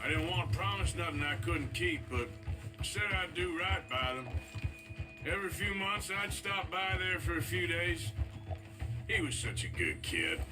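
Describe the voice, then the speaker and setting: An actor playing a weary, remorseful, ailing outlaw in a video game, with an American accent and a slow, halting, reflective drawl. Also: male voice, on the high side, lively intonation, lightly acted, low-quality recording. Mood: wistful regret. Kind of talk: dramatic video game acting. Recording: music behind the voice.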